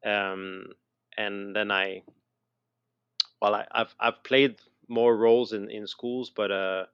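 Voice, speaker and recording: A middle-aged man with a Quebec French accent tells his story in a casual interview, halting and often repeating words mid-sentence. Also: lowish voice, fairly even intonation, smooth, no echo, dull audio. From Montreal, Canada. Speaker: interview guest, educator and designer.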